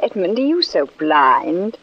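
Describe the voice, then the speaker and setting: A woman's voice: on the low side, big pitch swings, takes it slowly, exaggerated voice. An actress playing a passionate, wounded young mistress who coaxes her lover in a 1940s radio melodrama. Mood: tender pleading. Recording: dull 1940s radio recording.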